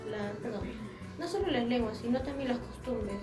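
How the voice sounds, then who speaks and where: A young woman speaks reflectively in a fairly high voice, stopping to correct herself. Her voice echoes in the room, with music playing behind. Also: expressive, normal speed. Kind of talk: group discussion.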